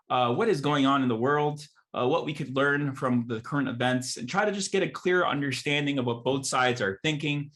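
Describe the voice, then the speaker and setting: A man's voice: medium pitch, fairly bright tone. A man in his thirties hosting a podcast interview, asking friendly questions with lots of fillers and restarts. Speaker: podcast host and pastor. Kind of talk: casual interview chat.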